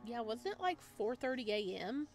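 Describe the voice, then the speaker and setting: High-voiced woman reading a visual novel. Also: sing-song, moderate pace, faint music behind. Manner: informal, slangy, reacts in asides. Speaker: gaming video host. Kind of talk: visual novel let's play, reading aloud with casual reactions.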